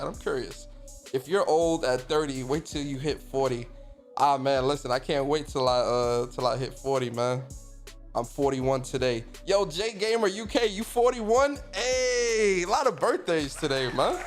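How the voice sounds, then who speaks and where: A man's voice: a little high, lively intonation, normal speed, faint music behind. Chatty man in his thirties, livestream host. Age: 30s. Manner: loose and swearing, laughs often, shout-outs to chat. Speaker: streamer and podcast host. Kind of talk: casual livestream chat reading viewer comments.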